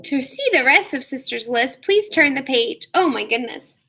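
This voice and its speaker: A woman reading a story aloud to young children like a teacher, expressive, with drawn-out emphasis. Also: high-pitched, breathy edge. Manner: expressive, asks listeners questions, drawn-out emphasis.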